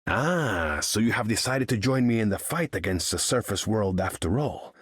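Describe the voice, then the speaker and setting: A calculating game villain. His voice is lowish and husky, recorded dry. He talks with formal, imperious haughtiness. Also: expressive, moderate pace, fairly bright tone, no echo. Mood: haughty. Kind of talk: video game character acting.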